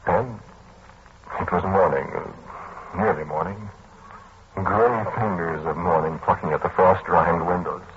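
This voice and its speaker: A muffled, faintly hissy vintage radio recording of a haunted narrator. His voice is low and agitated, and he tells his dark tale in deliberate, broken phrases with earnest drama. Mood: haunted, brooding. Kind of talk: old-time radio drama narration, first person.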